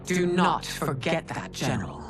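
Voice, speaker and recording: A woman's voice: deep voice, expressive, measured pace, far from the mic, music in the background. A woman voicing a stern, proud middle-aged empress in a video game drama, with a formal, commanding manner.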